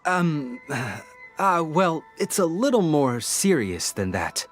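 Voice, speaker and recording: An actor playing an earnest, polite young male detective's assistant in a video game, hesitant, apologetic and gently exasperated. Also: on the high side, sing-song, slow, faint music behind. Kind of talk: video game character dialogue.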